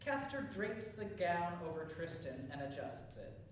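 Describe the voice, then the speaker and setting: A woman's voice: fairly low pitch, big pitch swings, a little slow, recorded from a distance. A woman reading stage directions aloud as scripted narration for a play. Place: theatre space, distant microphone. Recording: echoey room from afar, hissy noise.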